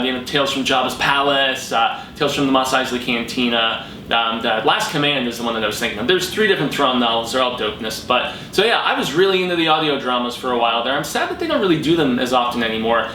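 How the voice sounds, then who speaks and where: An American man talking casually as a vlog host, excitable, emphatic and rambling, with plenty of slang. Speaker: host of a horror fiction review channel. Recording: roomy echo, background noise and rumble.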